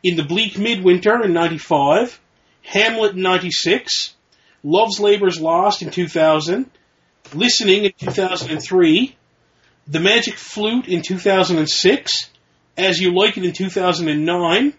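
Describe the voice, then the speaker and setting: A man with a slightly high voice hosts a podcast in a loose, casual chat, reading out lists from his notes and correcting his own slips as he goes. He rambles a bit and laughs easily at himself. The recording is muffled and lo-fi. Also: normal speed, a bit bright, slight background noise. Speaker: podcast host.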